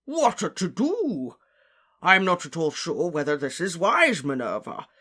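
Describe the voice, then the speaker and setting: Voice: sing-song, unhurried, sharp tone, husky, full character voice. An audiobook narrator voicing a pompous, fearful, portly old male professor who puffs and blusters. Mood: flustered, aghast. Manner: puffing, rumbling, blustering.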